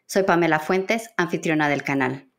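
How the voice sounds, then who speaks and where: A woman's voice: sits low, moderate pace. A woman hosting a podcast interview, presenting guests and putting prepared questions to them. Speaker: podcast host interviewing book authors.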